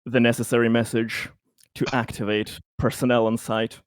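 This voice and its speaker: A man playing a tabletop roleplaying game, speaking in character with measured, rather formal phrasing. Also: medium pitch, little pitch movement, clear, resonant tone, dry recording.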